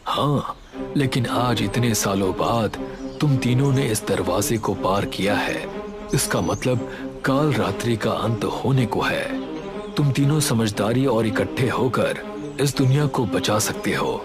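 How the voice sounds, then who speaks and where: A man playing a wise, reassuring magical talking tree in a cartoon story, solemn and measured. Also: on the low side, close to an everyday voice. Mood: grave. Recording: loud music over the voice. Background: loud background music.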